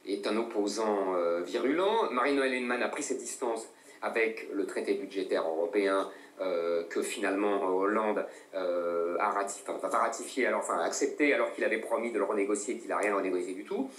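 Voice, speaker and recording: A middle-aged man questions a guest in a probing, halting way, leaning on fillers. His voice has a middling pitch. It sounds roomy and picked up from a distance. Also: fairly bright tone. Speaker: talk show co-host. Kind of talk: debate questioning.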